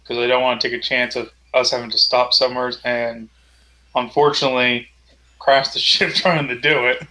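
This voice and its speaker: A man's voice: medium pitch, level tone, normal speed, sharp tone, rough recording. A man casually discussing options as a player in a tabletop role-playing game.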